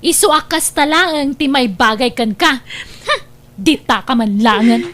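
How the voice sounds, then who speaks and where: A woman's voice: high-pitched, a little slow, a bit bright. A woman playing a sly, taunting, domineering city recruiter in a family radio drama. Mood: mocking, bossy.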